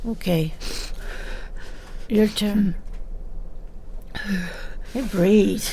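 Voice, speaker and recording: Very slow, creaky older seamstress. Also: female voice, mid-range voice, mellow, a little hiss or hum. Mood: gentle, coaxing. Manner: halting, repetitive, stammering. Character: chatty, flustered older seamstress in a laundromat. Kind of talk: audio drama acting.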